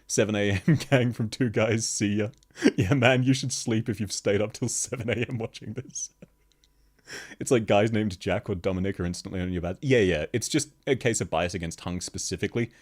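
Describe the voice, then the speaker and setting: A man with a very deep bass voice, chatting casually with viewers on a live stream and laughing often. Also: normal speed, slightly rough. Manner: laughs constantly, chatty, answers chat questions. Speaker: VTuber streamer. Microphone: streaming microphone, level shifts as he moves.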